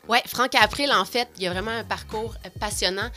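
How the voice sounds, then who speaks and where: Bright woman co-hosting. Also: mid-range voice, brisk, soft background music. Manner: hesitant, many fillers. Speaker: podcast co-host. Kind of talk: casual interview hosting. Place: small studio behind plexiglass.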